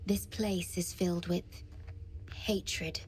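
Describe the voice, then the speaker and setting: An actress playing a gentle, devoted female guardian in a video game, speaking in a soft, breathy, measured and earnest way. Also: lowish voice, level tone, very noisy. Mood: grave, earnest. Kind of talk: video game cutscene voice acting.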